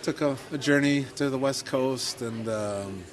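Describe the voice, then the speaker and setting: A man's voice: medium pitch, a little slow. A middle-aged man with a Midwestern accent, telling his career story in a casual podcast chat, full of fillers and self-deprecating asides. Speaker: podcast co-host.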